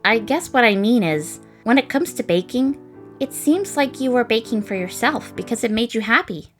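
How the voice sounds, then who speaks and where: A woman's voice: on the high side, lively intonation, moderate pace, soft background music, close to an everyday voice. A woman voicing a thoughtful, encouraging young woman in a comic dub of a space adventure. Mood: gentle, encouraging.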